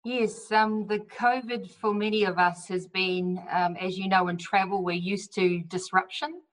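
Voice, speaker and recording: Brief: NZ-female tour guide, mid-pitched, measured and gentle. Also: steady tone, rough recording. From New Zealand. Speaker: tour company co-owner and guide. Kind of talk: interview answers.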